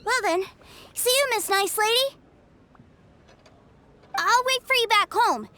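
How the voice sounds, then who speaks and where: Bright, eager little boy. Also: very high voice, slow pace, full character voice. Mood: bright, hopeful. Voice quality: childlike, bright. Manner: earnest, excitable. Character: eager, innocent little boy, sweet and polite. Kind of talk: video game voice acting.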